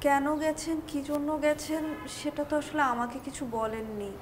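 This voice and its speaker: An actress with a Bangladeshi accent playing a meek, courteous young wife in a TV drama, speaking politely and hesitantly. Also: female voice, high voice, moderate pace, background noise, lightly acted. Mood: polite, coaxing. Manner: polite, hesitant, soft pleading. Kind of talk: natural TV drama acting.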